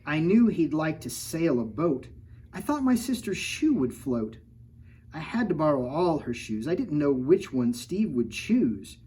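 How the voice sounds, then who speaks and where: A man's voice: a little high, very animated, a little slow, mellow, slightly rough, full character voice. A man reading the rhyming picture-book part of an innocent, self-justifying three-year-old girl in a sing-song cadence. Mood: playful scheming.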